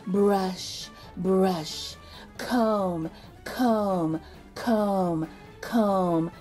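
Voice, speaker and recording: A woman reading a children's storybook aloud in a deliberate, rhythmic, expressive manner. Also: mid-range voice. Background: background music.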